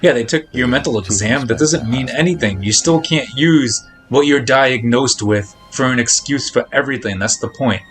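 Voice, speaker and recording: A man's voice: medium pitch, normal speed, hardly any pauses, a bit bright, soft background music. A man chatting casually on a live game stream, speaking in a blunt, admonishing, repetitive way. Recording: noticeable background noise.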